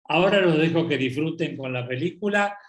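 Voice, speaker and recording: A man's voice: fairly high pitch, animated, brisk. A man hosting a live presentation, introducing a guest and the film in a formal way. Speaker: host. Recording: echoey room.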